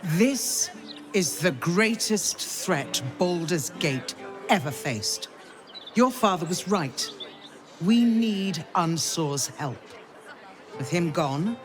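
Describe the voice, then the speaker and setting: Stern, solemn, slow-spoken noblewoman counsellor. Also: on the low side, expressive. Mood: grave, earnest. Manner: solemn, formal, measured delivery. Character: stern, loyal noblewoman counsellor of a fantasy city. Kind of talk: dramatic video game cutscene acting. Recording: music behind the voice.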